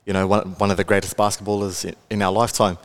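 A man in his twenties with an Australian accent and a fairly low voice. He talks as a guest on a podcast, relaxed and chatty, with plenty of fillers and easy laughs. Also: fast talker. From Melbourne, Australia. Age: 20s. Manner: chatty, laid-back, many fillers, laughs often. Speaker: guest, content creator. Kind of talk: casual podcast interview.

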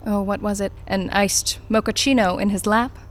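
A woman acts out a corporate interrogator for an audio drama. She is formal, brisk and probing, with a dry sardonic edge to her polite questions. Her voice sits at a middling pitch for a woman. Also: fairly even intonation, a little hiss or hum, lightly acted. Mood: dryly needling.

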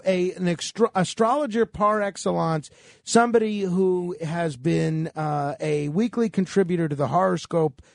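A man hosting a radio interview, halting, with many fillers. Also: high voice, gravelly, no room sound, clean studio sound. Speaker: radio host.

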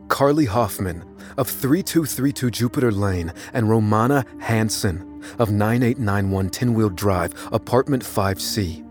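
An actor playing an unassuming, secretive young computer whiz, giving a guarded, deliberate confession in an audio drama. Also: male voice, lowish voice, a little mellow, lightly acted. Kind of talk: dramatic first-person audio fiction narration.

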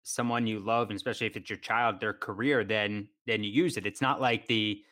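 A man's voice: lowish voice, steady tone, a little fast, a bit bright. An American man hosting a sports podcast in a conversational interview style.

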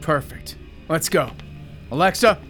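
An actor playing a stubborn, agitated, paranoid conspiracy theorist in an audio drama, insistent and intense. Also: male voice, fairly high pitch, lively intonation, a little slow, fairly bright tone, soft background music. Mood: defiant, urgent.

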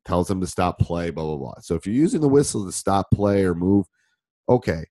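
A middle-aged man, a basketball coach, answering listener questions in a rambling, casual way, his voice roughened by a cold. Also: low voice, animated, a little fast, warm tone, no room sound. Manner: rambling, conversational, with many fillers and restarts. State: voice deeper than normal from a cold. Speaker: basketball coach and podcast host.

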